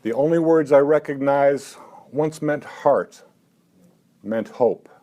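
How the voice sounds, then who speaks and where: A man in his seventies at a live poetry reading, talking haltingly between poems with dry, self-mocking asides and lifting his voice for the verse. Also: mid-range voice, mellow. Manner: halting talk with many "uh" fillers. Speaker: poet.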